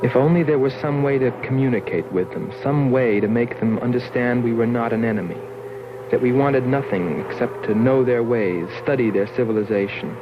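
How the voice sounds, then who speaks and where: An actor voicing a wistful explorer in an earnest, musing voice-over for a 1960s science fiction film. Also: male voice, medium pitch, moderate pace, close to an everyday voice. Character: wistful male explorer narrating his longing for contact. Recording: muffled old film soundtrack, noisy background.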